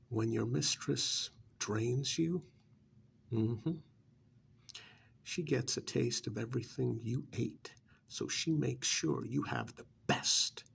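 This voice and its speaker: Man playing a gravelly, whispering vampire's familiar recruiter. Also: a bit bright, exaggerated voice. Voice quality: breathy, gravelly, often whispered. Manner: coaxing, sardonic sales pitch with drawn-out emphasis. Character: persuasive, smug vampire's familiar working as a recruiter. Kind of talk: ASMR role-play monologue.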